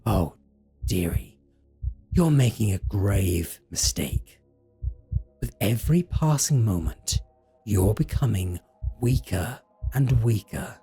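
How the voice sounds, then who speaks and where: A man's voice: lowish voice, expressive, slow, dark tone, breathy edge, full character voice. A man playing a possessive, menacing, seductive vampire in a roleplay audio drama, speaking with theatrical, mock-tender threat.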